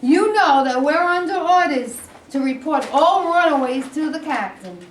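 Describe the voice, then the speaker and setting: A woman's voice: high voice, animated, moderate pace. A woman in a staged play reading, playing a callous, nervy young male soldier. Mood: callous, impatient. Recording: distant echoey room, faint noise.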